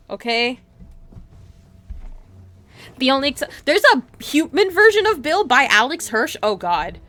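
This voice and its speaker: Bright, high-voiced woman streamer. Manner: animated, giggly, chatty with viewers. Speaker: livestream host playing a visual novel. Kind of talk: casual stream chat and dramatic read-aloud.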